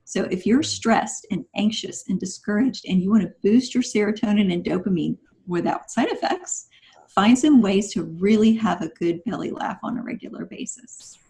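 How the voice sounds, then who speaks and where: A woman's voice: medium pitch, lively intonation, normal speed, creaky, a little mellow. A woman speaking as a podcast guest, giving conversational advice in an earnest, emphatic and encouraging way. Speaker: guest writer.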